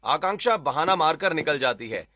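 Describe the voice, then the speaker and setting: A man's voice: on the high side, expressive, normal speed, pushed and bright, a little hiss or hum. A man narrating a scripted domestic family story in Hindi.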